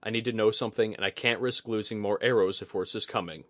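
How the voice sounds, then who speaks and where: A man's voice: fairly low pitch, steady tone, quick pace, a bit bright, dry recording, clean studio sound, lightly acted. A man voicing an alert, anxious soldier in a fantasy audiobook, speaking earnestly and urgently. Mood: worried reasoning. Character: alert, anxious, quick-thinking male soldier on watch.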